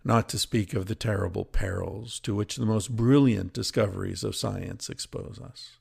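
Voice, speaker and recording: A man reading from a book aloud in a deliberate, measured way, with informal commentary of his own. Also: lowish voice, steady tone, vocal fry, a little mellow, husky. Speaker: podcast host and reader. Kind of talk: reading a book excerpt aloud with casual asides.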